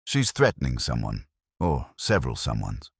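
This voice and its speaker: A man's voice: very deep voice, wildly swooping pitch, normal speed, slightly rough, dry recording, clean studio sound, heavily acted. A man voicing an uneasy, plain-spoken police deputy with a troubled, questioning manner. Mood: troubled, puzzled.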